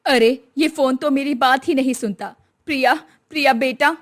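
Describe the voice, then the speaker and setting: Woman playing a stern, high-voiced older mother-in-law. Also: normal speed, natural voice. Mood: scolding. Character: stern traditional older mother-in-law, scolding yet tender.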